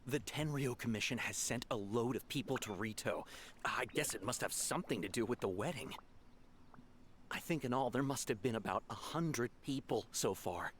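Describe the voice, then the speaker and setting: A man plays an ordinary townsperson in a video game, observant and given to speculating. The pitch is average for a man. A little ambient noise sits behind the voice. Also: moderate pace, lightly acted. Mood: puzzled.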